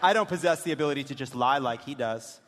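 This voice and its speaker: A man reading the part of a politician's candid, jaded adult daughter in a dry, deliberate comic dramatic reading on stage. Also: medium pitch, a bit bright, voice pushed down, natural voice. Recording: reverberant theater, some noise.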